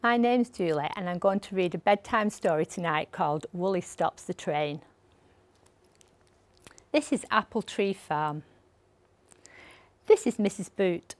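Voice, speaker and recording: An older woman reading a children's bedtime story aloud, with expressive delivery for young listeners. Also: medium pitch, no echo. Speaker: library storyteller. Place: indoor set with a sofa. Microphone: lapel mic.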